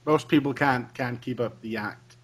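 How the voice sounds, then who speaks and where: A man's voice: mid-range voice, moderate pace. A man who also makes gaming videos, chatting agreeably and reflectively in a casual podcast interview. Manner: agreeable, reflective, often backing the other speaker up. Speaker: fellow gaming video maker.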